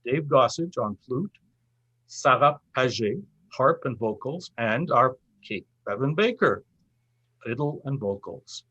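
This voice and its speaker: A man with a husky, mid-pitched voice speaks as the host of a cultural festival. He reads prepared closing remarks in a formal, measured manner, phrasing them with a literary flourish. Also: a bit bright, rough recording. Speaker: host giving closing thanks at a festival event.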